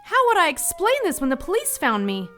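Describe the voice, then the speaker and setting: A young 1940s heroine narrating an audio drama. Her voice is high and very expressive, earnest and anxious in its storytelling. Soft music runs behind her. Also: a little fast, heavily acted. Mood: anxious narration.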